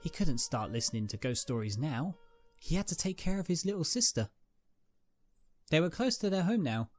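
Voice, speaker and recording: A man's voice: fairly high pitch, sing-song, moderate pace, no room sound. Man narrating a dramatic story over music. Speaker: narrator, reader. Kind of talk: scripted story narration, dramatic fan fiction reading. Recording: music behind the voice. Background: background music.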